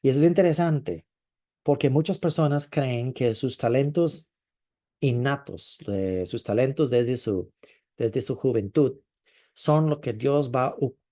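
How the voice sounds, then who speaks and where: Mellow-voiced male preacher, thoughtful. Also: medium pitch. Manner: thoughtful, with frequent hesitations and drawn-out stresses. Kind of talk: sermon.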